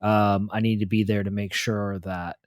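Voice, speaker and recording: Low, dry-sounding man improvising at the table. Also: level tone, clean tone, dry recording. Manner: halting, thinking aloud. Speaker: player in a tabletop role-playing game. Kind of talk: casual improvised in-character chat.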